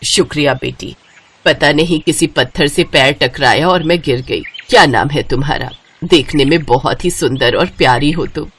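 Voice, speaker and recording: A woman's voice: low voice, moderate pace, slight background noise, pitched below the performer's own voice. A woman voicing a kindly middle-aged female magician in a story, instructing in a motherly way. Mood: grateful, friendly.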